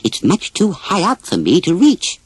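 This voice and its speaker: A man as an apologetic, high-voiced old lady. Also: wildly swooping pitch, moderate pace, slightly rough, dry recording, heavily acted. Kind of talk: children's storybook character voice.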